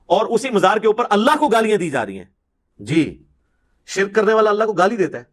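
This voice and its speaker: A man's voice: high-pitched, sing-song, a bit bright. A man with a Pakistani Punjabi accent giving an informal religious lecture in an emphatic, argumentative, rhetorical way.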